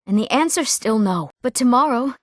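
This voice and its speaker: A woman's voice: a little high, expressive, moderate pace, no echo, clean studio sound. A young woman voicing an eager, proud young Nord townswoman in scripted fantasy game dialogue, with an earnest delivery. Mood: guarded, hushed. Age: young adult. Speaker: video game voice actor.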